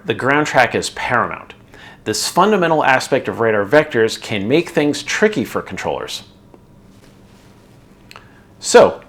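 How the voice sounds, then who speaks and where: A man narrates a scripted lesson as an instructor would, moving methodically from point to point. His intonation is animated, rising and falling widely, and he puts firm emphasis on words that turn the explanation. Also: mid-range voice. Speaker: instructor, video host.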